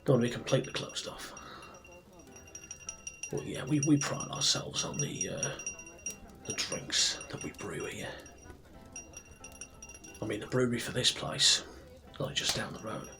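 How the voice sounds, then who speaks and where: Soft-spoken, gravelly fantasy barkeep played by a man. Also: medium pitch, expressive. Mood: gentle, chatty, proud. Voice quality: soft, breathy, often near a whisper. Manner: unhurried, chatty, rambling with pauses. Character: friendly, proud human tavern barkeep in fantasy town. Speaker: roleplay performer. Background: soft music and tavern ambience.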